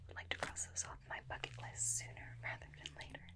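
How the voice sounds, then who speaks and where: A woman playing a bossy, teasing, protective vampire slayer in an ASMR roleplay, whispering intimately into a close binaural mic with a breathy, creaky edge. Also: lightly acted. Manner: intimate, slow questioning, dryly teasing. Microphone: close binaural microphone.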